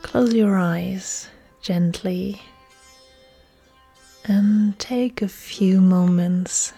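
A woman yoga instructor leading a guided relaxation meditation in a soft, breathy, soothing delivery with long pauses. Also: medium pitch. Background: soft music.

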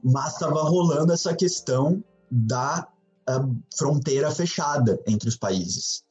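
A man, a podcast guest, telling a travel story in a relaxed, rambling way, full of fillers. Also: medium pitch. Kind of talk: casual chat. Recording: slightly dull, muffled audio.

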